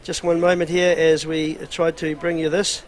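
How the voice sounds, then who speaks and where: A man commentating a club rugby match outdoors, speaking in a relaxed, halting way with many fillers. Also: a little high.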